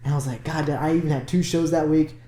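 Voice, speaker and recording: A man with a fairly high voice tells stand-up-style stories, acting out the quotes and laughing along. The room around him is echoey. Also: quick pace, a little hiss or hum. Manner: animated storyteller who acts out quotes and laughs. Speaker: comedian. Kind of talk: casual chat. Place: room in Fresno. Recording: roomy echo.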